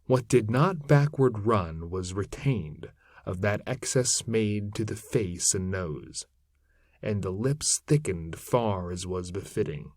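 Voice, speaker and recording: A man reading classic epic verse aloud as a narrator, in a measured, declamatory manner. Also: on the low side, expressive, darkish tone, no room sound, clean studio sound. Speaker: reader of classic poetry. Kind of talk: literary poetry narration, read aloud.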